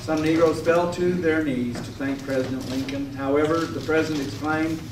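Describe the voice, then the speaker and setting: A man playing a firm, dignified Civil War Union general in readers theater, speaking in measured, formal oratory. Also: a little high, fairly even intonation, lightly acted. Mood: solemn, proud. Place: echoing room at a stage rehearsal. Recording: echoey, noisy room, distant mic.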